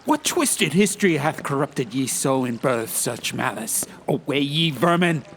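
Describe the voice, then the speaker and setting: A man's voice: medium pitch, sing-song, unhurried, fairly bright tone, slight background noise, voice pushed up. A man voicing an indignant, stern protector who declaims in archaic, theatrical style in an audiobook story, raised above the narrator's usual voice. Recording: music behind the voice.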